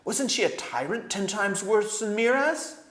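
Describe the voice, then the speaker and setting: A man acting a forceful, outraged young king. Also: sits high, expressive, unhurried, reverberant, voice pushed up. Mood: indignant. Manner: indignant, forceful, declamatory.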